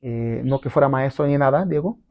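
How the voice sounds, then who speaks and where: A man's voice: mid-range voice, fairly quick, no room sound, highs cut off. A middle-aged man whose first language is not Spanish, telling his story in a casual interview, rambling with many fillers and tag questions. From abroad, not Mexico. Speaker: guest entrepreneur.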